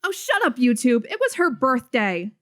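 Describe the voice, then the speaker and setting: A woman voicing a quirky, excitable teenage girl in an amateur webcomic dub, dramatic and teasing. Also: sits high, normal speed. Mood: friendly, excitable.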